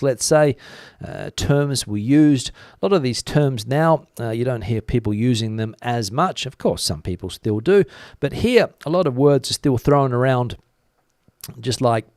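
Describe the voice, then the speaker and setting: A man's voice: mid-range voice, darkish tone, dry recording, studio quality. A man hosting a live stream, talking through the news in a casual, conversational way and musing as he answers viewers. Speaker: host of a live commentary stream. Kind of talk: casual news commentary, reading out viewer comments.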